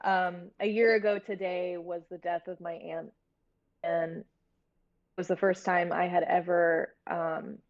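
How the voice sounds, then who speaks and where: An American woman from Florida talking casually and candidly as an interview guest, in a halting storytelling way. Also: lowish voice, flat delivery. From Florida, United States. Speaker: guest, horror series producer. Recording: dull, lo-fi audio.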